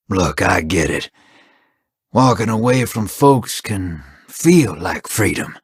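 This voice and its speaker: Laid-back wry space pirate, husky, dry sound, lively pitch, unhurried. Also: male voice, no echo, heavily acted. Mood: easygoing. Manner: casual, easygoing, wry.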